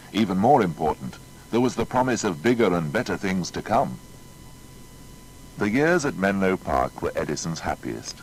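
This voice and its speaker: A low male voice reads scripted biographical narration. His storytelling is measured but melodically varied. A faint rumbling noise runs underneath. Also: rough recording.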